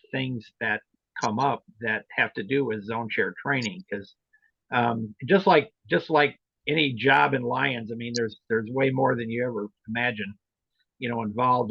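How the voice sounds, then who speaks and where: A man talks through training matters in an informal, halting and meandering way. His voice is husky and gravelly, heard over roomy online meeting audio. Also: medium pitch, muffled recording. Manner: hesitant, rambling, explanatory. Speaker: meeting leader and trainer of volunteer club officers.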